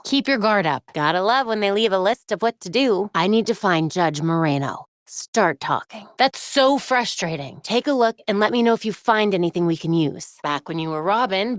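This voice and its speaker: A woman's voice: medium pitch, no room sound, studio quality, natural voice. An American woman voicing a young, brainy, determined vigilante heroine in a video game, with a wry, earnest delivery. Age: young adult. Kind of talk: video game character voice lines.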